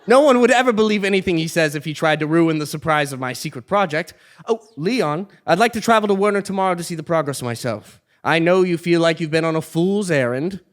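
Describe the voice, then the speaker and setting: A man's voice: on the high side, lively intonation, brisk, close to an everyday voice. A man playing a foolish, cheerful king with pompous, theatrical delivery in a comedic stage play. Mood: gleeful, pompous. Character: foolish, cheerful male king, whimsical and oblivious. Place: theatre stage before live audience. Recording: live theatre stage recording.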